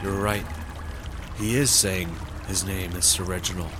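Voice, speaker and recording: An actor playing a casual, easily baffled and suspicious squad-car hero in a sci-fi comedy audio drama. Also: male voice, sits low, fairly even intonation, unhurried. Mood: curious. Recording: music behind the voice.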